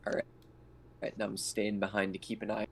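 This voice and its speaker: A man's voice: on the low side, monotone, a little fast, creaky. A man speaking casually as a player in an online tabletop game, partly in character. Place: online voice call. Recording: noisy voice call.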